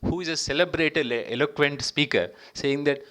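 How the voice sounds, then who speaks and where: A man's voice: a little high, normal speed, a bit bright. Indian-accented man giving a formal speech. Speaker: diplomat, guest speaker. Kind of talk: public speech to professionals.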